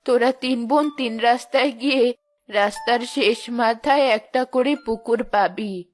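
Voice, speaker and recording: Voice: mid-range voice, close to an everyday voice. A performer voicing a kindly old magical village woman in a cartoon, talking affectionately like an elder. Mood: calm, instructive. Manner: slow, affectionate elder addressing young girls. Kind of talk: cartoon voice acting.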